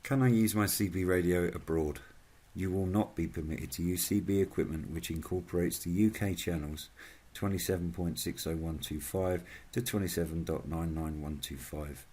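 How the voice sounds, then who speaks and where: A man narrates a scripted informational video in a very low register. His voice is husky and a little rough. He reads out regulations formally, as a presenter working through set questions and answers. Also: steady tone, normal speed, dark tone.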